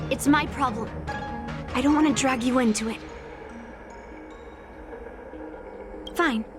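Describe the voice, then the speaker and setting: An actress voicing a fierce, stubborn young swordswoman in a dubbed game cutscene, speaking bluntly and with determination. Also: sits high. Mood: impatient. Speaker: game character. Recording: game audio over loud music.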